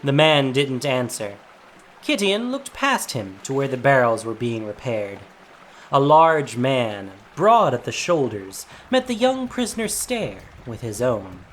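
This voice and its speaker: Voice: medium pitch, big pitch swings, a little slow, a little hiss or hum. A narrator reading a scripted fantasy story in a dramatic audio drama style. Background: soft music.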